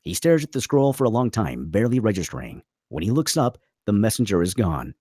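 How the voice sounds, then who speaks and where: A man with a low voice narrates a screenplay, reading scene headings and action. His delivery is dramatic with lively swings in pitch, recorded close and dry. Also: brisk, slightly rough, dry recording. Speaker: narrator reading stage directions. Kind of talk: dramatic scripted narration at a table read.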